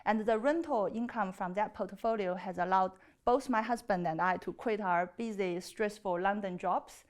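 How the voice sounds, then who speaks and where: A woman in her thirties from China with a Chinese accent, giving an earnest, slightly hesitant personal pitch. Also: normal speed. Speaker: property developer pitching as a contestant. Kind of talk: personal pitch and chat on a TV show.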